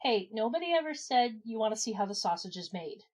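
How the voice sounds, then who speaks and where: A woman with a very high voice performs in a comic audio skit. She sounds exasperated and animated, like someone at the end of her patience. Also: fairly quick. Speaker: podcast regular playing herself in a comedy skit. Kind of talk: comedic audio drama acting.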